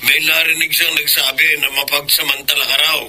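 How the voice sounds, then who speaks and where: A man's voice: on the high side, flat delivery, brisk, bright, forceful tone, heavily acted, rough recording. An actor playing a stern, protective provincial father of a grown son, in a Filipino radio drama with a Filipino accent. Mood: grave, informing. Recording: clear background noise and ambience.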